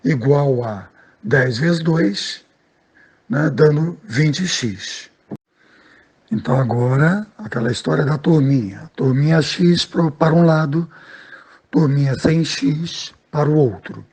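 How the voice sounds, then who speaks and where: A man's voice: a little high, slow pace, darkish tone, husky. A man, a math teacher patiently explaining a lesson step by step and often checking in with his listeners.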